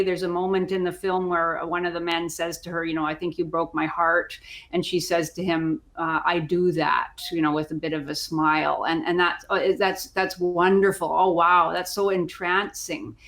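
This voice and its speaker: A woman's voice: lowish voice, level tone, normal speed, few pauses, fairly bright tone. A woman on a discussion panel giving her opinions conversationally, often repeating words as she thinks aloud.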